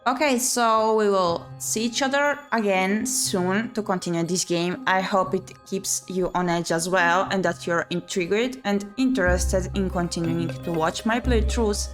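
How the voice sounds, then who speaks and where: Female game streamer, mid-pitched, theatrical story narration over music. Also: a little slow, few pauses. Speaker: streamer playing and voicing a visual novel. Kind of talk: dramatic read-aloud narration.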